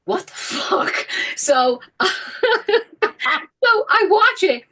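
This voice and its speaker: A woman who is sick, with a raspy voice, chatting casually on a call and laughing often. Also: extremely high pitch, very animated, drawn-out words, pushed and bright. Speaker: caller. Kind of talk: casual chat.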